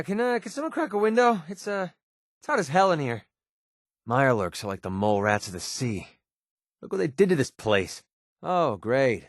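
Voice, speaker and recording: A man's voice: medium pitch, no echo, studio quality, natural voice. An actor playing a wry, jaded young male mercenary in a video game, with a casual, sarcastic, grumbling manner. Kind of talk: video game companion dialogue.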